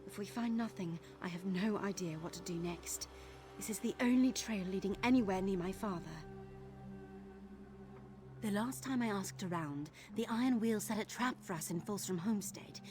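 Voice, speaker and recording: A woman's voice: medium pitch, moderate pace, lightly acted. Woman acting an earnest young thief, music behind. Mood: worried, determined. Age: young adult. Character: earnest young woman thief searching for her missing father. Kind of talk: video game character dialogue.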